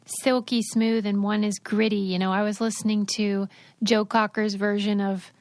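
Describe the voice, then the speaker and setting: A woman's voice: mid-range voice, level tone, normal speed, no room sound. A woman co-hosting a podcast in casual chat, chatty and easygoing. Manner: chatty, reads ad copy. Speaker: podcast co-host.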